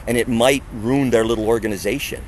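A man's voice: mid-range voice, fairly even intonation, brisk, a little mellow, a little hiss or hum. Emphatic male street preacher outdoors. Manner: emphatic, acts out others' voices, asks rhetorical questions. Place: outdoors in a parking area.

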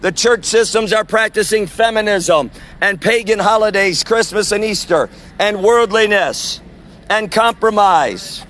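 A man preaching loudly and forcefully on an outdoor sidewalk, proclaiming to passersby. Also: high-pitched, unhurried. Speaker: street preacher. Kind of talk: open-air street preaching.

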